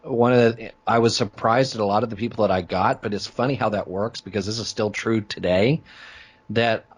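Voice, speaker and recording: Man, low voice, informal, chatty storyteller, podcaster in a relaxed interview conversation. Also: fairly quick, breathy edge. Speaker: podcast host being interviewed. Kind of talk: casual interview chat.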